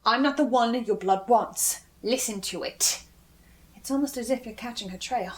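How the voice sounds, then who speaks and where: A woman playing a composed, eerie researcher in a horror audio drama, speaking in a deliberate theatrical way. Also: a little high, natural voice. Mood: intense, persuasive. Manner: slow, deliberate, ominous, suave.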